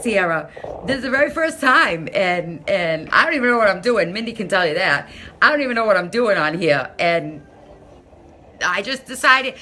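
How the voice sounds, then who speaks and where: Bright-voiced Massachusetts woman chatting loudly. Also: fairly high pitch, slight background noise. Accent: Massachusetts, non-rhotic. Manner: chatty, loud, talks to commenters by name. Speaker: Facebook live streamer. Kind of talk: casual live-stream chat with viewers. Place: home, by a window. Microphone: phone held at arm's length.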